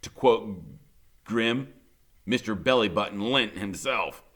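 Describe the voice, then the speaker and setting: A man's voice: fairly low pitch, takes it slowly, a bit bright. A man narrating a first-person story as an audiobook reader, conversational, wry and dramatic in his delivery.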